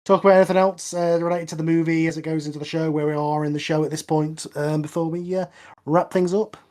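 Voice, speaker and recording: Higher-voiced man hosting a casual chat. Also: flat delivery, quick pace, a little mellow. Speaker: host of a group movie commentary, show creator. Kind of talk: casual group discussion.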